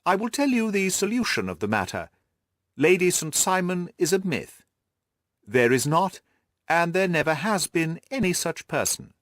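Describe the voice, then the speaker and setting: A man voicing an amused, self-assured gentleman detective in an audiobook, with a teasing, theatrical drawl. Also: high voice, very animated, moderate pace, dry recording, studio quality, voice pushed up. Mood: calm, teasing. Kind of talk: audiobook character acting.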